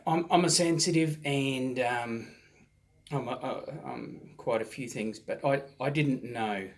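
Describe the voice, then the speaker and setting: A man, hosting his own channel and telling a personal story in a halting way, his voice slightly hoarse from a recent virus. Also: mid-range voice. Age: 40s. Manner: halting, many ums and restarts, soft-spoken. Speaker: vlog host.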